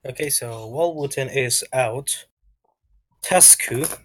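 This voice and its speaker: A man's voice: mid-range voice, slow, fairly bright tone. A man acting as game master, casually narrating and making rulings in a tabletop game.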